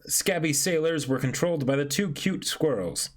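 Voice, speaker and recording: A man's voice: on the high side, expressive, normal speed. A man co-hosting a manga reading, chatting casually and joking as he comments. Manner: playful, joking. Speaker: co-host of a manga dramatic reading.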